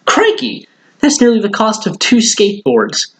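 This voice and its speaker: A man's voice: sits high, huge pitch swings, unhurried, fairly bright tone, pitched above the performer's own voice, full character voice. A man voicing a whiny, sulky schoolboy who pleads and protests, as in a storybook reading. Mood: excited wheedling.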